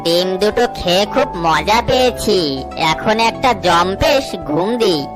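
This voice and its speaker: A woman's voice: medium pitch, lively intonation, unhurried, close to an everyday voice. A woman voicing a sly, greedy, scheming fox in a children's cartoon, with a crafty, self-satisfied way of talking. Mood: greedy, gleeful. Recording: music behind the voice. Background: music.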